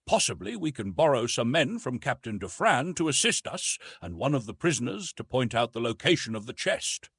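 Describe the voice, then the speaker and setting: Man putting on a high, fussy old professor. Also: very animated, moderate pace, hardly any pauses, a bit bright, husky, no echo, full character voice. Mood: fussy, indulgent. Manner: fussy, repetitive, pompous. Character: fussy, absent-minded old male professor, excitable. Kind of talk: dramatised audiobook reading.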